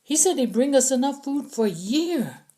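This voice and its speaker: Audiobook captive role, acted by the narrator: frightened male scavenger, raised pitch, pleading and explaining anxiously, muted tone. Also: big pitch swings, normal speed, warm tone. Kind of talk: audiobook character acting.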